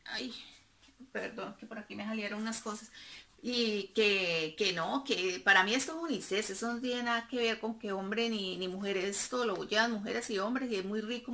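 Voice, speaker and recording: Bright, chatty woman vlogger. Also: fairly high pitch, slight background noise. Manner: chatty, fond of diminutives, shows items to camera. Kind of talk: casual haul chat. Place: living room at home. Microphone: camera in front, seated close.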